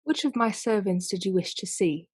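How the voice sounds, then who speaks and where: A woman's voice: medium pitch, normal speed, a little mellow, slightly rough, dry recording, close to an everyday voice. Woman playing proud aristocratic widow, dry close sound. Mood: guarded. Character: proud, weary aristocratic widow, curt with servants. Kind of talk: radio play acting.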